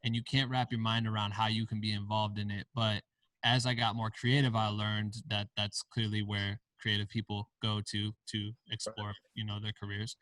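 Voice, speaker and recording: A man's voice: lowish voice, flat delivery, moderate pace, fairly bright tone, dry recording, distant mic, poor audio. A man from Iowa, a podcast guest, telling stories in a laid-back way with casual slang. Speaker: podcast guest, film director and founder. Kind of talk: casual interview chat.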